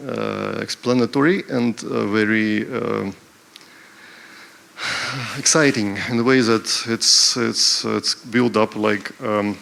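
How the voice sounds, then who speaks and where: A man with a very gravelly, creaky voice speaks on a panel at an art event, pitched a little low. He talks in a halting way, stopping and restarting often with many fillers. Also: a bit bright. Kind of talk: hesitant panel talk.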